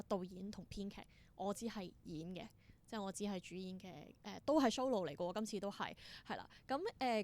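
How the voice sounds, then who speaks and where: Woman, theatre performer as interview guest. Hong Kong Cantonese accent, mid-pitched, casual, enthusiastic storytelling sprinkled with English.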